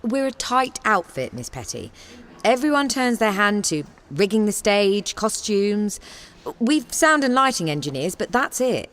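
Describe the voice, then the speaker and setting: Confident theatre boss lady, frank, very lively intonation, radio play. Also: medium pitch, a little slow. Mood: firm, businesslike. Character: frank, confident woman theatre director leading her troupe. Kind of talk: naturalistic radio play acting.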